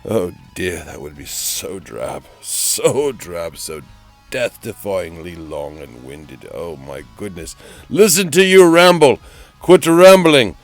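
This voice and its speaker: A man's voice: sits low, very animated, faint music behind, heavily acted. A man playing a pompous, easily exasperated narrator who mocks a painter in a theatrical, mock-dramatic DIY voice-over. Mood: weary, sardonic, then exasperated, scolding. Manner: theatrical, pompous, drawn-out repetition.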